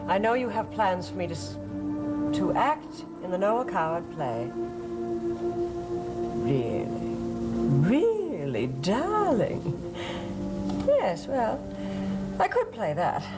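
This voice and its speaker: A woman's voice: mid-range voice, wildly swooping pitch, slow, pauses often. A woman playing a grand, mocking stage actress in a gothic soap opera, with affected, condescending drawled delivery. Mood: grandly weary. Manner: drawling endearments, arch and condescending. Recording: dramatic music behind the voice.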